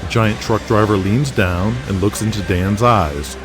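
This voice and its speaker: A man's voice: fairly low pitch, a little slow. A man narrating a scripted audio drama as the storyteller. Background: music with guitar.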